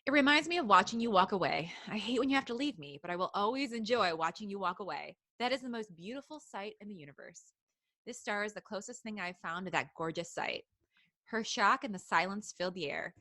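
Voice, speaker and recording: A woman's voice: mid-range voice, animated, brisk, bright, forceful tone, no echo. A woman hosting a live podcast, reading steamy fan fiction aloud and breaking into giggles. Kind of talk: live comedic reading and banter.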